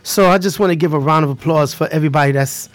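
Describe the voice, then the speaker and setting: A man's voice: a little high, quick pace, slight background noise. A man hosting a live radio show in a casual, effusive way, often repeating his phrases. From Haitian background.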